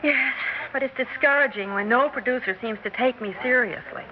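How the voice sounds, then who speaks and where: A woman's voice: a little high, a little fast, close to an everyday voice. A woman playing a naive, eager, stage-struck young actress in an earnest, impressionable way, in a 1960s radio drama. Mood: eager, awed. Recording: noisy, dull 1960s radio recording.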